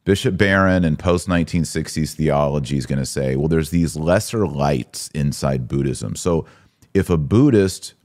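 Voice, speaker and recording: Deep-voiced man explaining religious views. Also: a little mellow. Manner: explanatory, teacherly, emphatic. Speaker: podcast host. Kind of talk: conversational religious commentary.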